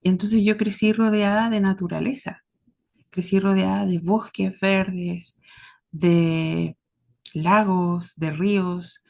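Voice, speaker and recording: Chilean lady talking reflectively to her listeners, medium pitch, earnest, pauses between little phrases. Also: creaky, darkish tone. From southern Chile. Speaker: host of a series about caregiving. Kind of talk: reflective spoken monologue to listeners.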